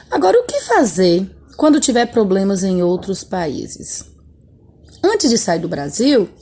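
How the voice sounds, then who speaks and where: A woman's voice: medium pitch, mellow, breathy edge. A woman from Bahia, teaching a chatty remote lesson from a textbook and talking to her pupils directly. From Bahia, Brazil. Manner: chatty, friendly, often tags "né?" and speaks to pupils directly. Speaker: schoolteacher.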